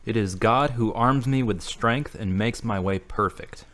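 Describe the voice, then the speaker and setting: A man playing a weary, newly devout believer in an audio drama monologue, deliberate and confessional. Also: lowish voice, fairly bright tone. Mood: reverent.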